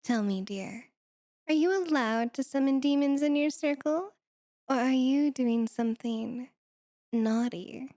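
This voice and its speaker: Woman voicing a high-pitched, teasing succubus. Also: measured pace, mellow, no room sound, studio quality. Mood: coy, curious. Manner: coy, teasing delivery. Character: sultry, mischievous female succubus demon, playful and taunting. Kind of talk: flirty ASMR roleplay acting.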